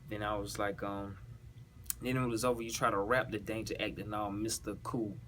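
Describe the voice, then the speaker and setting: A man with a Southern US accent speaks in a fairly low voice. He talks casually in heavy slang, explaining and reciting rap bars and checking that the listener follows. The recording carries faint ambient noise. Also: little pitch movement, a little fast, a bit bright. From South Carolina, US. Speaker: battle rapper breaking down their own bars.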